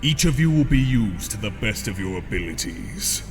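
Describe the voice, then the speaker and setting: A man's voice: lowish voice, sing-song, normal speed. A man voicing a fearsome demon warlord in a comic dub, commanding, formal and imperious. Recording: long reverb, faint music behind.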